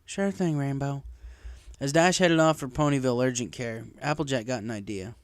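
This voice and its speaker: A man's voice: medium pitch, animated, brisk, clear, resonant tone, no echo, clean studio sound. A man reading a story aloud as scripted narration. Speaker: fan fiction narrator.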